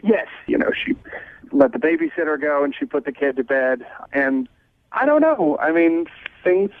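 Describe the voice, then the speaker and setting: Male radio caller, higher-pitched, hesitant and evasive, dry narrow phone line. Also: big pitch swings, moderate pace, dry recording. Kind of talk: casual storytelling. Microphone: phone call.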